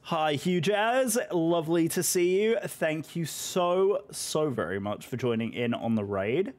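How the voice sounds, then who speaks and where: A British man, hoarse from a voice that is still recovering, chatting casually and effusively with viewers on a live stream. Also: on the high side, animated, measured pace. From Britain.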